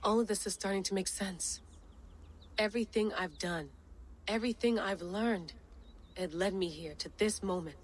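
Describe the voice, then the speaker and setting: An actress playing a driven, impatient modern woman who speaks briskly and with determination. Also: medium pitch, natural voice. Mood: determined.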